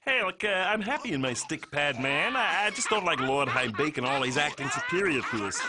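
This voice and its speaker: A man's voice: a little high, expressive, fairly quick, very noisy, natural voice. Man voicing a slangy, laid-back slacker cartoon pig. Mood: resentful, laid-back. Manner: hesitant, slangy hippie drawl. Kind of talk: cartoon voice acting.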